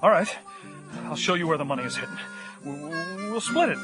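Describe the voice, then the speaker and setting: A man's voice: a little high, lively intonation, a little fast, music in the background, lightly acted. An actor playing a desperate, delirious man in a radio horror drama, stammering and pleading in panic. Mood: bewildered pleading.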